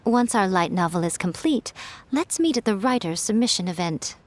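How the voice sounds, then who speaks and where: A woman's voice: medium pitch, lively intonation, normal speed, a little mellow, natural voice. An actress playing a teasing, confident woman in a video game. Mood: gracious. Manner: teasing and self-assured. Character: sly, confident fox-like shrine maiden woman.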